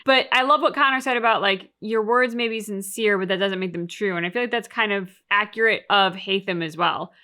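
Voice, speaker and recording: A woman's voice: mid-range voice, brisk, few pauses, bright, forceful tone, smooth. A woman hosting a reaction show, giving casual analysis in an emphatic, opinionated way and reasoning aloud through rhetorical questions.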